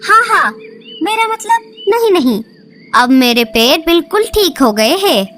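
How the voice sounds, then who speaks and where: A woman voicing a friendly, excitable female bird in a cartoon, with drawn-out, expressive exclamations. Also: extremely high pitch, soft background music, exaggerated voice. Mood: exclaiming, eager. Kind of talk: Hindi cartoon character voice. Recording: noisy background.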